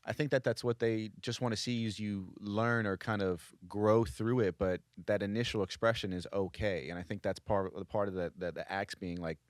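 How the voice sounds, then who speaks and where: A young man co-hosting a podcast, reflective and measured in casual conversation. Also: on the low side, steady tone, no room sound, clean studio sound. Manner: reflective, measured, thinks aloud. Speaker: podcast co-host.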